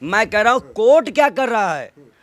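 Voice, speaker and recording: A man's voice: high-pitched, sing-song, normal speed, a bit bright. A man giving an impassioned political interview, arguing indignantly with rhetorical questions and emphatic repetition.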